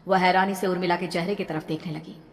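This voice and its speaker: A woman's voice: on the low side, fairly even intonation, fast talker. A woman narrating a scripted horror story in a dramatic storytelling manner. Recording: reverberant, with background music.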